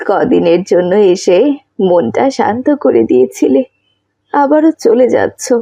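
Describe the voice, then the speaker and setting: A woman voicing an affectionate elderly village grandmother in a children's cartoon, tender and gently fussing. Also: medium pitch, creaky, breathy edge, dry recording, highs cut off, heavily acted. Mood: tender, wistful. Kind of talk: children's Islamic cartoon story acting.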